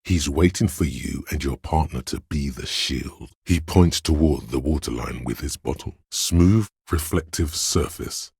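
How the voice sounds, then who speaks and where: Very deep, gravelly analytical inner voice. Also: male voice, a little slow, few pauses, a little mellow, no echo, studio quality, exaggerated voice. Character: analytical inner voice of a detective's mind, grave observer. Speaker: video game narrator voice. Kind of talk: scripted second-person game narration.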